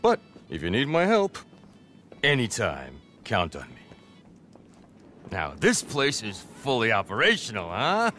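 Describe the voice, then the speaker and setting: Flamboyant, animated nightclub manager. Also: male voice, lowish voice, measured pace, pauses often, soft background music, exaggerated voice. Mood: smooth, sly. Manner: theatrical, gushing. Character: flamboyant, exuberant male nightclub manager, party-loving smooth talker. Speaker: club manager. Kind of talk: video game cutscene acting.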